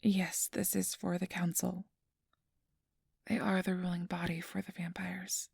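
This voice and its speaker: A woman playing a kindly, apologetic registration clerk in an ASMR roleplay, speaking softly and often in a whisper with a gentle, reassuring manner. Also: on the low side, little pitch movement, unhurried, no echo, studio quality, heavily acted. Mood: gentle, careful. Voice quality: soft, breathy, often whispered. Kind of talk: ASMR roleplay, soft whispery speech to the listener.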